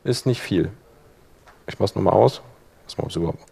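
Man giving an informal software tutorial. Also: lowish voice, moderate pace, gravelly, slightly rough. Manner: colloquial, thinking aloud while demonstrating. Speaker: workshop presenter.

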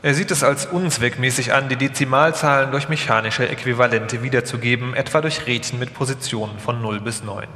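A man's voice: medium pitch, steady tone, brisk, hardly any pauses, bright, forceful tone. Male narrator in a very echoey hall. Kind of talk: scripted documentary narration, performed live. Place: live stage performance in a hall. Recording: echoey hall, long reverb, some music.

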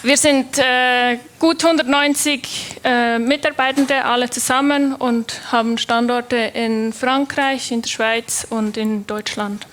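A woman's voice: fairly high pitch, fairly even intonation, slow pace, hardly any pauses, a bit bright, a little hiss or hum. A young woman with a Swiss German accent, a developer giving a technical conference talk, hesitant with many fillers and restarts. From Switzerland.

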